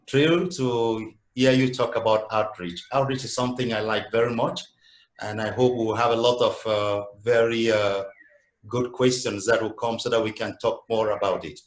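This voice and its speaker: A man's voice: on the low side, fairly even intonation, moderate pace, echoey room. A man moderating a webinar Q&A, polite and hesitant, with many fillers. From Cameroon.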